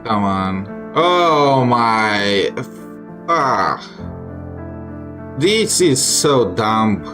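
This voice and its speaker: A man's voice: on the low side, big pitch swings, drawn-out words. A man thinking aloud in casual, mildly grumbling commentary as he plays a video game. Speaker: gamer streaming a playthrough. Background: game music.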